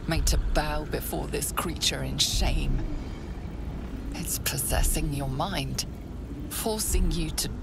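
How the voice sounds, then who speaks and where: A woman acting as the solemn, ominous narrator of a dark fantasy game, speaking slowly and deliberately over a noisy stream capture. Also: deep voice, creaky, full character voice. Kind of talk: dramatic second-person game narration.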